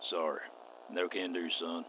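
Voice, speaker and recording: An actor playing a stern, wary space western marshal in a radio play, with a terse, folksy drawl. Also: male voice, low-pitched, flat delivery, moderate pace, lightly acted. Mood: wary, firm. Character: gruff, wary, stern male frontier marshal. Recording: tinny band-limited audio with clear noise.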